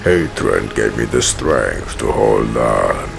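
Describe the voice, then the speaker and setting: A man playing a brooding, menacing prison-hardened villain who narrates his origin in a gravelly, solemn, deliberate voice for a comic dub. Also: very deep voice, expressive, noisy background, exaggerated voice. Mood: grim, resolute. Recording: music behind the voice.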